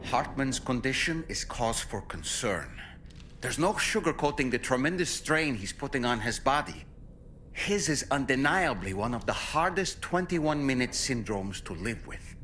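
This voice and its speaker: Game companion: talkative male doll, mid-range, musing and conversational with expressive swings, faintly noisy. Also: mid-range voice, moderate pace. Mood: concerned. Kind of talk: video game character monologue.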